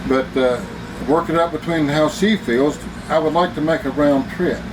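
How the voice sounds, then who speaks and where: A man in his sixties, a retired father, answering his daughter's questions in a slow, folksy, hesitant way. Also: fairly high pitch. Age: 60s. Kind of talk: casual chat. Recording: distant voice with background noise.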